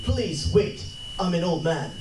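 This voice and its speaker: A man acts out a frightened old blind street beggar in an amateur radio drama. He pleads and protests in a high voice. The sound is distant and echoey, with noise behind it. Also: animated, measured pace. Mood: pleading. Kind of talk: amateur live radio play at a convention. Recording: roomy, distant, noisy live panel recording.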